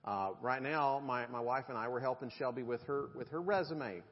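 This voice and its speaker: A man's voice: mid-range voice, moderate pace. A middle-aged man, preaching a conversational sermon with jokes to his congregation. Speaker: pastor. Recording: dull sound in a reverberant hall.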